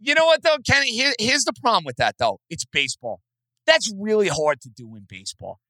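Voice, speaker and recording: A man's voice: sits high, wildly swooping pitch, a little fast, sharp tone, no echo, poor audio. A man hosting a sports call-in show. He talks emphatically and repeats points for stress. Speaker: sports radio host.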